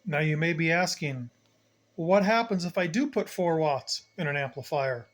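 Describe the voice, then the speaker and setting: Man with a higher-pitched voice explaining step by step. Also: animated, normal speed. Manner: plain-spoken, step-by-step explaining, repeats key points. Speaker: hobbyist demonstrator, channel host. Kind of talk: hands-on equipment tutorial, unscripted demonstration.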